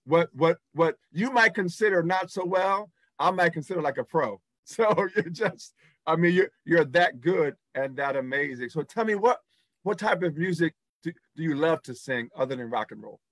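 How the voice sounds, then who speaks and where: A man's voice: a little high, expressive, moderate pace, fairly bright tone, no room sound. A middle-aged man hosting an interview over a video call, effusive and laughing, repeating his praise. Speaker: talk show host. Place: indoors in front of a backdrop.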